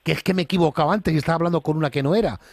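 A man's voice: fairly high pitch, very fast. A man with an accent from Spain making an improvised prank phone call, talking in an insistent, rambling, colloquial way. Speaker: prank caller.

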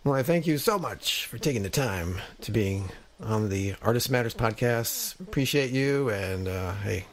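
A man's voice: on the low side, lively intonation, normal speed, few pauses. Middle-aged man hosting a casual podcast. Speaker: podcast host. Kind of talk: casual reflective podcast talk.

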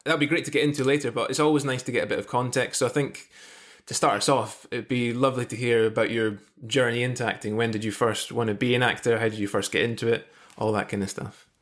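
A man's voice: mid-range voice, steady tone, fast talker, a bit bright. A man in his thirties hosting a podcast in a casual, conversational interviewing style. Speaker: podcast host. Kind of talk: friendly interview, casual chat. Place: indoor room on a video call. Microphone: video call.

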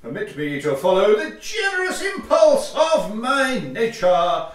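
Casting: male actor as pompous lodger, excitable, high and swooping, for audio farce. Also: measured pace. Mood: indignant. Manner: theatrical, pompous, declamatory. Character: excitable, pompous Victorian gentleman lodger, prone to indignation. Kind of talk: Victorian farce audio play acting. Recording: very echoey room, distant mic.

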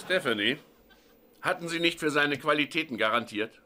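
An actor playing a stern, formal and reproachful school principal in a dubbed sitcom. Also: male voice, a little high, normal speed, pushed and bright. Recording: very noisy sitcom soundtrack with clicks.

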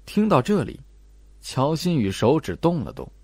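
A male audiobook narrator tells a suspense story. His intonation moves in a lively way, and the recording is dry and close. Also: a little high, moderate pace, breathy edge, no echo. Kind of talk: scripted suspense narration with acted dialogue.

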